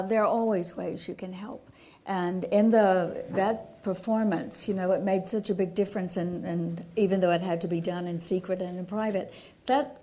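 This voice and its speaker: A woman's voice: lowish voice, dark tone, slight background noise. A woman moderating a live panel and taking comments from the audience.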